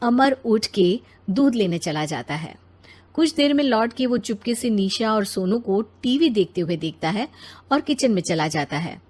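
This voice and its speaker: A woman narrating an animated moral story in a scripted storytelling style. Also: mid-range voice, measured pace.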